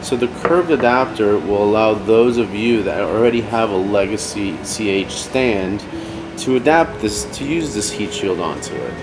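A man in his forties giving a casual product demonstration in halting phrases with pauses. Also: on the low side, lively intonation, background noise. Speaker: company product presenter. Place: indoor set with brick wall, seated at table.

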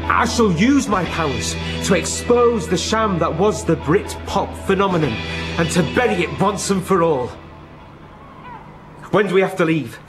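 A man's voice: high-pitched, expressive, normal speed, music in the background. An actor playing a self-important, washed-up male pop star in a radio comedy play, with a theatrical manner. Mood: impatient. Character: washed-up male pop star turned grumpy lighthouse keeper, grandiose.

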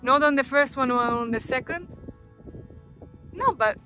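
A woman vlogger with a fairly high voice talks casually on a mountain trail. She is recorded on a camera outdoors, with some music underneath. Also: measured pace. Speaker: travel vlogger, hiker. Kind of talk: casual chat while hiking.

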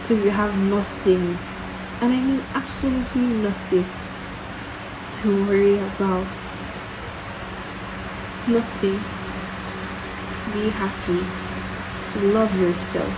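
A young Nigerian woman in her twenties with a Nigerian accent, chatting casually to camera as a vlogger at home. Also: mid-range voice. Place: bedroom and living room at home. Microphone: phone at arm's length. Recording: noisy room ambience.

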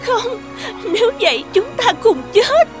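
An actress playing a devoted young runaway daughter in a cartoon folk tale, speaking with pleading emotion. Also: female voice, very high-pitched, sing-song, a little slow, music in the background, heavily acted.

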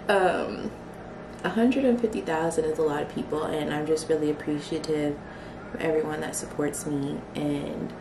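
A young woman talking casually to her camera at home, soft and reflective, a little emotional. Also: sits low, recorded from a distance, noisy background. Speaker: vlogger. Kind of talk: casual vlog talk. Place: small home kitchen and living room. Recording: roomy, from the mic, music behind.

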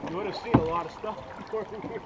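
A man's voice: high voice, fairly even intonation, fast talker. A man chatting casually with companions outdoors. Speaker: trip companion. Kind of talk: casual chat. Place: outdoors on a river and forest trail. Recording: very noisy outdoor action camera audio.

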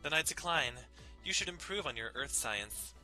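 A man's voice: on the high side, lively intonation, normal speed, bright, forceful tone, faint music behind, pitched above the performer's own voice, rough recording. A man voicing a proud, bloodthirsty alien warrior woman with a haughty, formal, emphatic delivery, in a visual novel reading.

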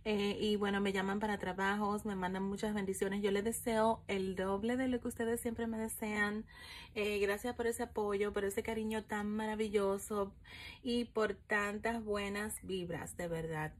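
A chatty woman reads fortunes from a coffee cup at a home altar. Her voice sits at a middle pitch, loose and conversational, with many fillers and "¿ok?" at the end of her points. She is recorded on a phone held over the table. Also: steady tone, a little slow. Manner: chatty, many fillers, frequent "¿ok?" check-ins. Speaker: coffee-ground and tarot reader. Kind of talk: casual spiritual reading monologue. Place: home room with candles and an altar, indoors. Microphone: phone camera over the table, speaker off screen.

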